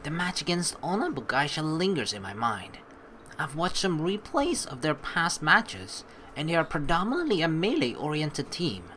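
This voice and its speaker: Young male let's player, bright forceful voice, very animated intonation, expressive rambling delivery, faint background noise. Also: on the high side, normal speed. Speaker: let's play host. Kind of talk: game commentary and reading narration aloud.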